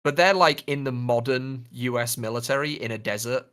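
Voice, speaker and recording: Male podcast co-host, mid-pitched, informal chat about screen and gaming culture. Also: moderate pace, a bit bright, smooth. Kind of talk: casual chat about films and games.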